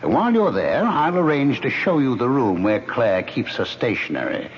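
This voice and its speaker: A man's voice: medium pitch, big pitch swings, normal speed, close to an everyday voice. A man acting a suave scheming ghost, theatrical swoops. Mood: smug, calm. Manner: suave, mocking, insistent. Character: smug, scheming male ghost, calmly coaxing murder. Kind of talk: 1970s radio drama acting. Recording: muffled vintage radio recording, hissy.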